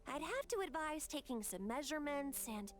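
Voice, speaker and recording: A woman's voice: high voice, animated, moderate pace. A woman voicing a careful young technical expert who explains things in a video game. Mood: explaining. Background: faint music.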